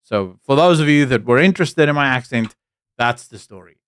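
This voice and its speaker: A man's voice: mid-range voice, lively intonation, a little fast, smooth, no room sound, clean studio sound. Frustrated South African man with mixed accent. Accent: South African English, mixed with British and American. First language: Afrikaans. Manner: frustrated, rambling, with frequent restarts and asides. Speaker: commentary channel host. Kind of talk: casual political commentary and storytelling.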